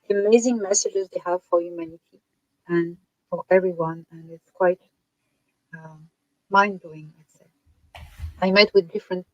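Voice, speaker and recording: A soft-spoken woman with a French accent, native French speaker, talking slowly as a guest on a video call and pausing to find her words. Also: lowish voice, dry recording, poor audio. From France. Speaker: guest author.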